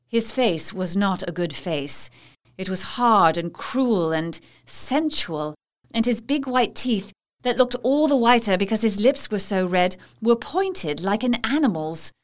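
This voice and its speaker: An actress playing a devoted, anxious young English wife, narrating her diary earnestly in an audio drama. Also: a little high.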